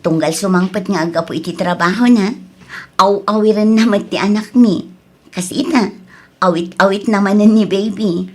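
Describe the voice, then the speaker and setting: A woman's voice: mid-range voice, moderate pace, darkish tone, close to an everyday voice. Woman acting a long-suffering young Ilocano wife. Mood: weary, subdued. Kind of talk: Ilocano radio drama acting.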